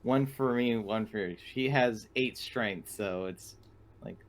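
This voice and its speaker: A man talking in a casual, halting way as a player in an online tabletop game. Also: medium pitch.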